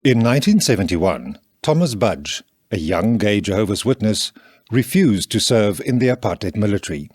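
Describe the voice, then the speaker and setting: Deep, husky trailer narrator, male. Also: lively intonation, moderate pace, darkish tone, no room sound. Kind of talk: dramatic scripted promo narration. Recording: dry studio voice over music. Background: music.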